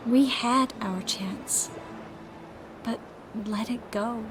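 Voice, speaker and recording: A woman's voice: on the high side, very slow, noisy background. A woman playing a proud, tender-hearted but resolute sorceress in a video game cutscene. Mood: wistful, resigned.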